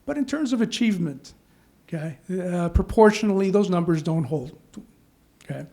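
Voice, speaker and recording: A man moderating a panel discussion, framing long, measured questions and checking in with the room. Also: sits high, lively intonation, gravelly, warm tone.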